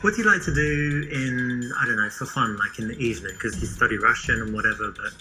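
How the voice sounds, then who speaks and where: A young Englishman chats easily, his London accent mostly worn away. He keeps things playful and relaxed. Music plays clearly beneath his voice. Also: mid-range voice, quick pace, rough recording. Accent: mostly faded London English. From England. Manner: chatty and playful, with relaxed fillers. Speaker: dating coach demonstrating an approach. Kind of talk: casual street conversation.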